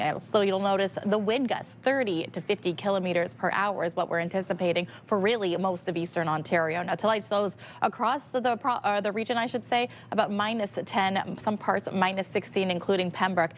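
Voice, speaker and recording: A woman's voice: mid-range voice, a little fast, hardly any pauses. Female weather presenter over noisy background. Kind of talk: live broadcast weather forecast.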